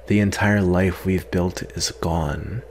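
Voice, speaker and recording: A man acting out a proud, sardonic captive vampire in a soft-spoken, disdainful ASMR roleplay. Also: low voice, steady tone, slow, slight background noise. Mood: bitter, defensive. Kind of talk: ASMR roleplay, acted monologue.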